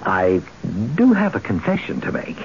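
A man's voice: fairly low pitch, huge pitch swings, darkish tone. A jittery guy in an old radio drama, stammers, voice swoops around, faint hiss. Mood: sheepish confession. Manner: halting, stammering. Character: nervous, awestruck male neighbour. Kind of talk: 1970s radio drama acting. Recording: dull, hissy old radio recording.

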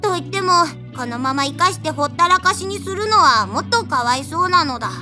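A woman's voice: extremely high pitch, expressive, a little fast, bright, forceful tone, soft background music, full character voice. A woman playing a cute, mischievous, childlike messenger god in an audio drama, with a cutesy verbal tic ending sentences. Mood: matter-of-fact. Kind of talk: cartoonish audio drama acting.

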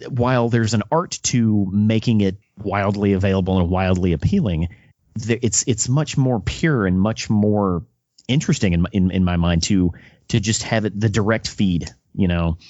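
A man's voice: fairly low pitch, moderate pace, a little mellow, no room sound, lo-fi sound. A man interviewing on a podcast, conversationally offering thoughtful opinions and building his sentences phrase by phrase.

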